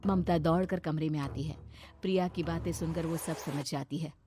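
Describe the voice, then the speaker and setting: A woman's voice: low-pitched, fairly even intonation, moderate pace, very noisy. A woman reading a scripted horror story as narrator for a cartoon. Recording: loud music behind the voice. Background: loud background music.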